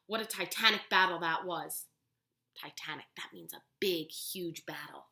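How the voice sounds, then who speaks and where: A woman teaching preschool, reading a picture book aloud with expressive, animated storytelling and pausing to ask the children questions. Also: fairly low pitch. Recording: echoey room.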